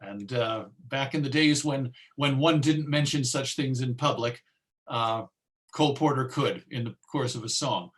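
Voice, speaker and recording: Man, middle pitch, informal presenter, musing delivery, frequent mid-phrase pauses. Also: fairly bright tone. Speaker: presenter and old-time radio collector. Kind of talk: informal online talk with audience questions.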